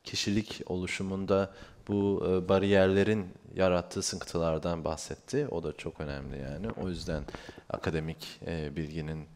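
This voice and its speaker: Casting: deep-voiced male interpreter, halting delivery, lots of drawn-out fillers. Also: little pitch movement, a little mellow. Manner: hesitant, many drawn-out fillers. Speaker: interpreter and coordinator. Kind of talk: consecutive interpreting at a panel.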